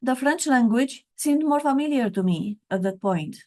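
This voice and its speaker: A middle-aged woman from Romania, reading her own story aloud in a measured, reflective way. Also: high-pitched, expressive, a little mellow.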